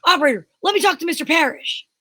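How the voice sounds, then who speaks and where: A woman playing a flustered, excitable male police inspector in an old-time radio style drama, melodramatic and exclamatory. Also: extremely high pitch, fast talker, no room sound, heavily acted. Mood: urgent.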